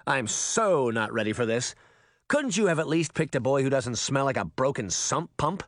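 A man's voice: mid-range voice, lively intonation, moderate pace, a bit bright, no echo, studio quality, lightly acted. Man acting a commanding, exasperated storybook dad. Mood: complaining. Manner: commanding, complaining. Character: grumbling, exasperated middle-aged father laying down the law. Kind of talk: children's fantasy audiobook character.

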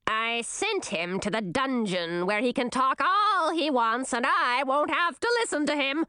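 A woman voicing a cruel queen turned into a hag, with a raspy, scornful voice in a storybook reading. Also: high-pitched, very animated, unhurried, sharp tone, no echo, clean studio sound, exaggerated voice. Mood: mocking.

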